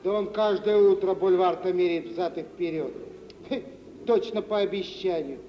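An actor playing a young, cheeky and curious café waiter in a radio drama, with a colloquial, playful way of talking. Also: male voice, high voice, moderate pace, faint music behind, natural voice. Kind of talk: mid-century Russian radio theatre acting. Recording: muffled 1950s radio play, reverberant stage sound.

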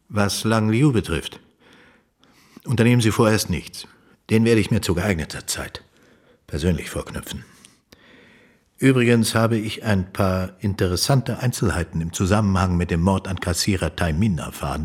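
A middle-aged judge of learning and courtesy in a radio play. His very low, husky voice moves with lively intonation while staying measured and formal. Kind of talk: radio drama acting.

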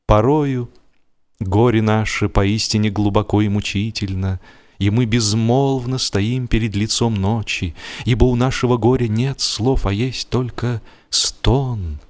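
A man narrating a literary story in an expressive, dramatic radio style, telling it in the first person with deliberate pauses. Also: on the low side. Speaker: audiobook narrator, actor. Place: radio studio. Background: music.

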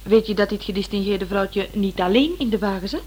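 A woman's voice: medium pitch, brisk, a little mellow, natural voice. A woman playing a playful, self-assured detective's partner in a radio play, with a teasing manner. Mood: pointed, intrigued. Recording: noisy old radio play recording.

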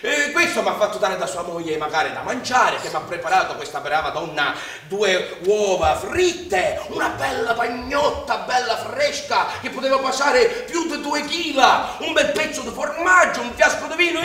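High-pitched spirited Sicilian farm lad. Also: male voice, fairly bright tone, slight background noise. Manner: emphatic, folksy storytelling with dialect grammar. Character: spirited, hungry young Sicilian farm labourer telling his life. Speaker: actor narrating an autobiographical stage play. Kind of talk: theatrical storytelling monologue. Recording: distant echoey theatre stage, music behind.

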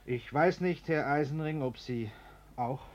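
An actor playing an anxious, ingratiating middle-aged homeowner in a German radio play, over-polite, hesitant and falsely jovial. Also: male voice, on the high side, unhurried, a little mellow, muffled recording, natural voice. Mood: earnest, chummy. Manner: hesitant, over-polite, chummy, nervous chuckling.

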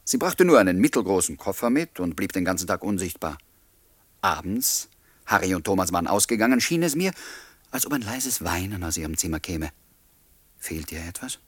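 Casting: reflective, polite flatmate-narrator for radio drama; low husky voice, dry studio sound. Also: male voice, fairly quick, no room sound, heavily acted. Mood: pensive, concerned. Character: courteous, pensive male flat-sharer narrating his memories. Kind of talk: German radio play narration and dialogue.

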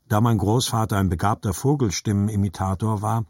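A man's voice: lowish voice, steady tone, a little fast, a little mellow, no echo, clean studio sound. A man narrating literary fiction for an audiobook in a scripted reading style.

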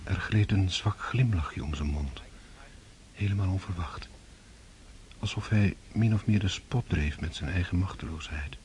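A man narrates a radio play in a deep voice. His delivery is composed, measured and literary. The old recording carries some noise. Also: darkish tone.

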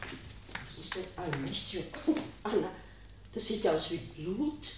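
A woman's voice: fairly low pitch, big pitch swings, a little slow. An actress playing a worried middle-aged mother in a radio drama, anxious and exclamatory. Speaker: actor in a radio play. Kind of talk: German radio drama acting. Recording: very echoey room with background noise.

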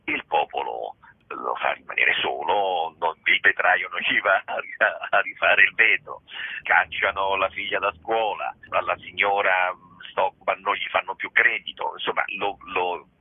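A man, a theatre director and actor, explaining a play in a radio interview, pausing often to search for words, heard over a phone line. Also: sits low, fairly even intonation, slightly rough, no room sound. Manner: searching for words, frequent pauses and hedges.

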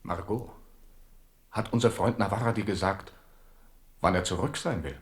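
A man's voice: low voice, moderate pace, natural voice. An actor playing an uneasy, questioning king at court in a radio drama, speaking formally. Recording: echoey, slightly distant room.

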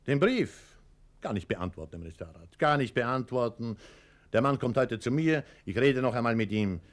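A man's voice: mid-range voice, expressive, normal speed, lightly acted. A man playing an officious department chief in a radio play, formal and unctuous, servile to superiors and patronizing to those below. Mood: indignant, servile. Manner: formal, unctuous, self-righteous. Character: officious male bureaucrat chief, servile upward, patronizing downward.

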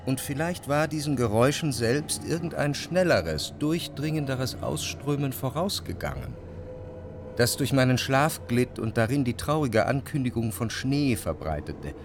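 An actor playing a reflective, melancholic man narrating his memories in a literary radio drama, measured and introspective. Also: mid-range voice, sing-song, noisy background. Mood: dreamy, reflective. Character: reflective, melancholic male narrator recalling his past life.

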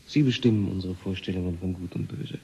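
An actor playing a defiant, provocative scientist in a German radio play, taunting, insistent and given to lecturing. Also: male voice, low-pitched, quick pace, a little mellow, slightly rough, heavily acted. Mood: probing, soft. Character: provocative, defiant male scientist, needling and probing.

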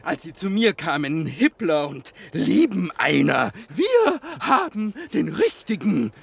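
A hateful ranting man, high wild swings, gravelly, slow and spitting, noisy bg. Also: huge pitch swings, crowd noise, full character voice. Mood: raging. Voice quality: gravelly, shouting in bursts. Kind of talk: radio drama acting.

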